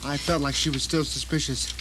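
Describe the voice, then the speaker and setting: A man's voice: on the high side, fairly even intonation, brisk, natural voice. A man playing a self-satisfied novelist trapped at home, narrating his grim ordeal dramatically in an audio drama. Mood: smug, cunning. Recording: noisy 1990 radio drama recording.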